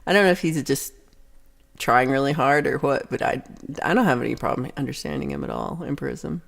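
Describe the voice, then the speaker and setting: A woman's voice: very deep voice, sing-song, brisk, gravelly. A young woman with a Texan accent who hosts a casual livestream. She reads viewer comments aloud and talks in a rambling, chatty way. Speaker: livestream host reading chat. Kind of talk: casual chat.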